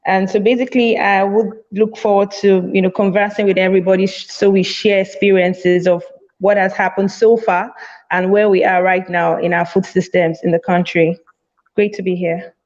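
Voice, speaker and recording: Woman from Nigeria, relaxed advocate, roomy and muffled call audio. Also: medium pitch, moderate pace. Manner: relaxed, with fillers. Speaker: food safety advocate. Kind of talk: casual self-introduction. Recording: dull, echoey call audio.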